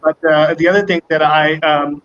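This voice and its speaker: A man's voice: a little high, steady tone, moderate pace, a bit bright. A man answering interview questions as a company guest, speaking conversationally and emphatically, often repeating words. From United States. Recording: muffled online call with background noise.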